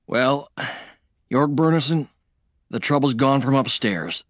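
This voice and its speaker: A weary frontier adventurer, as the reader plays him, talks in dry, drawling understatement. His voice sits in a middle pitch. The sound is dry and close. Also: lively intonation, takes it slowly, dry recording. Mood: wry. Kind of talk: audiobook character voice.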